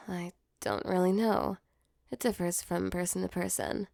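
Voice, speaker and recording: A woman voicing a female sleep paralysis demon in an intimate ASMR roleplay, with a soft, close delivery. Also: fairly low pitch, little pitch movement, unhurried, creaky, no room sound. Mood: gentle, earnest. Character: female sleep paralysis demon, mock-menacing turned tender and flustered.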